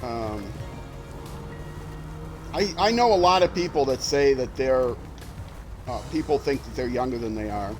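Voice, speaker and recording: A man's voice: medium pitch, lively intonation, a little slow, long pauses, soft background music. An older retired man with a young-sounding voice, chatting casually and rambling with many fillers as he streams a game. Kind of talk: casual livestream commentary while playing.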